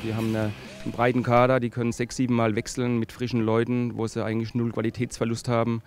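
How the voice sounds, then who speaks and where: A man in his forties, a football coach, answering an interview outdoors by the pitch, matter-of-fact. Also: monotone, fast talker, faint music behind. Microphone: handheld microphone.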